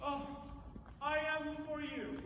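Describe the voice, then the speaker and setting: Slow, declamatory Shakespearean duellist played by a man. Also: very high-pitched, level tone, full character voice. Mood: challenging. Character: proud, menacing young male Shakespearean duellist. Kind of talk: Shakespearean stage acting. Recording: very echoey hall with faint noise.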